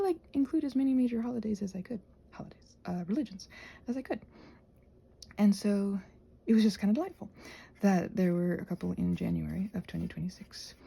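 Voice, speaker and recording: Breathy, whispery woman rambling softly. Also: fairly low pitch. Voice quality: soft breathy voice, often dropping into a whisper. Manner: chatty, self-questioning, thinking out loud. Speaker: ASMR video creator and host. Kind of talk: casual rambling craft chat, ASMR.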